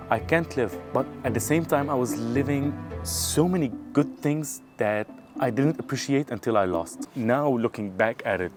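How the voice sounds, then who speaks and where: Man of 30, low-pitched, thoughtful vlog monologue outdoors over clear guitar music. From Syria. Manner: earnest, thoughtful, self-searching. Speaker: vlogger. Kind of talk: reflective personal monologue. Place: outdoors in a small town. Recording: guitar music playing underneath.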